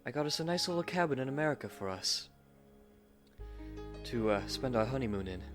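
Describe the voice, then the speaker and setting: A man voicing a devoted young gentleman husband, gentle, earnest and formal, in a romantic audio roleplay. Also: medium pitch, lively intonation, a little fast, music in the background, close to an everyday voice. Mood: tender, shy.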